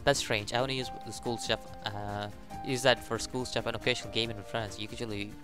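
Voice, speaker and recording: Young man reading game text haltingly. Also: fairly low pitch, steady tone, over music. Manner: halting reading, stumbles over words. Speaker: gaming YouTuber. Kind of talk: casual let's play, reading game text aloud with commentary.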